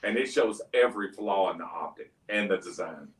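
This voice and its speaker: A man's voice: sits low, fairly quick. A man who is a guest on a live stream talks casually and agreeably with the host. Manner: easygoing, agreeable. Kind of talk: casual chat. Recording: roomy, distant mic.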